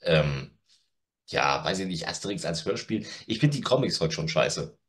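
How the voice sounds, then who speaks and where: Middle-aged male reviewer, lowish pitch, opinionated and blunt, casual video-chat delivery. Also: lively intonation, normal speed. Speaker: audio drama reviewer, guest. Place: home room with media shelves. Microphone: video call.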